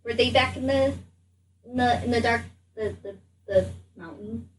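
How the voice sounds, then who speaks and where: A woman voicing a bewildered but polite adventurer in a tabletop game, speaking in a halting, stammering way. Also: a little high, close to an everyday voice. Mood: hesitant. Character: bewildered, polite traveled adventurer in a strange body. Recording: distant mic, background noise.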